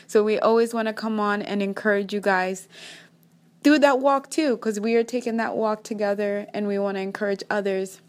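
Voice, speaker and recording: A woman's voice: medium pitch, fairly even intonation, moderate pace. A young woman from Kenya with a Kenyan accent, hosting a faith-based podcast in an upbeat, encouraging way. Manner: upbeat, encouraging, praise-filled. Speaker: podcast host.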